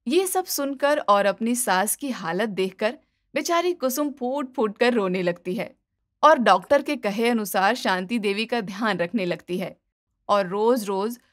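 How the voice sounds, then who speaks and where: High-pitched woman storyteller. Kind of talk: scripted moral story narration.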